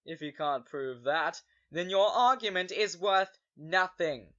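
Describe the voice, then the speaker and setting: A man's voice: high-pitched, measured pace, dull audio. A man playing a hostile, cocky murder suspect on a witness stand in a courtroom audio drama, sneering and coming unhinged. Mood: smug. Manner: sneering, stammering under pressure, shouting.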